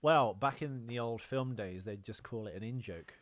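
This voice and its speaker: A man's voice: medium pitch, normal speed, dry recording. Man with dry, close sound, wryly chatting. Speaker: podcast co-host. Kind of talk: casual film discussion and trivia.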